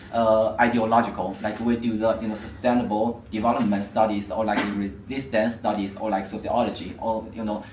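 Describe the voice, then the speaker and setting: Low-pitched male student, rambling, echoey room. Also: a little hiss or hum. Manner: rambling, filler-heavy. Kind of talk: casual discussion. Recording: echoey room, mic far off.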